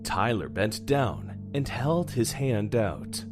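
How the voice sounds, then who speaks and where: A man's voice: mid-range voice, very animated, takes it slowly. A man narrating a horror audio drama from a script. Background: music.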